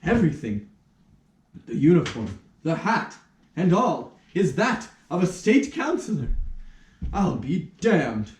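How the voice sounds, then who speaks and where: A man playing a pompous, flustered army major in a puppet show, theatrical and full of drawn-out exclamations. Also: a little high, very animated, a little mellow. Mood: distraught. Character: flustered pompous army major who lost his nose. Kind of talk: puppet show stage acting in rehearsal. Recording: roomy echo, somewhat distant mic.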